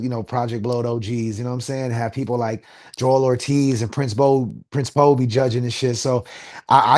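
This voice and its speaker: A man with a Los Angeles accent talks as a guest, loose and animated, dropping street slang as he goes. His voice sits at an ordinary middle pitch, and he often restarts his sentences mid-thought. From USA. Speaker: guest rapper. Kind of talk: casual interview talk.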